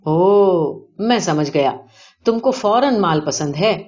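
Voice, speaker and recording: A woman's voice: on the low side, expressive, darkish tone, lightly acted. Woman reading as a boastful male vice boss, muffled audio. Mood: eager, pushy. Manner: showy, blunt, colloquial with English words. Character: rich, boastful male gambling-den and brothel boss. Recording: dull lo-fi audio.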